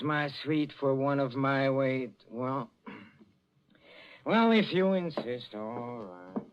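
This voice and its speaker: Silky, menacing jealous husband, muffled old radio. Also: male voice, medium pitch. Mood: feigned meekness. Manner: silky, mock-tender, halting, toying. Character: jealous, menacing middle-aged husband calmly poisoning his wife. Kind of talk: old-time radio drama acting.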